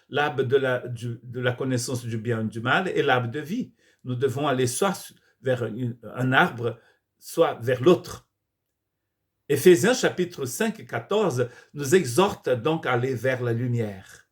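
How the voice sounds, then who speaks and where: Brazilian-born man preaching a sermon. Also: medium pitch. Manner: earnest preaching with frequent restarts and repeated words. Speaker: pastor. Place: church.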